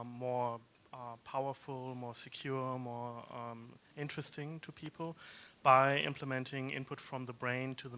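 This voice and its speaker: A man's voice: medium pitch, bright, forceful tone, a little hiss or hum. A man introducing himself on a panel, explaining his research earnestly with many fillers.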